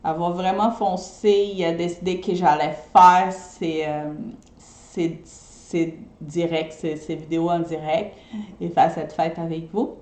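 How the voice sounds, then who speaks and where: A woman's voice: fairly low pitch, fairly even intonation, slow pace, darkish tone, echoey room. Brazilian woman with Québécois-tinged French. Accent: Brazilian Portuguese mixed with Québécois French. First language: Portuguese. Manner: chatty, often checks in with viewers by asking "ok?". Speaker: eco-friendly party decorator. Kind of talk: casual live video chat to viewers.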